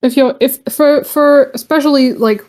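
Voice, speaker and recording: Mellow-voiced woman chatting casually. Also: high voice, normal speed. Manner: chatty, rambling with fillers. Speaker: discord chat participant. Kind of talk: casual voice chat. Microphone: home computer mic on voice call.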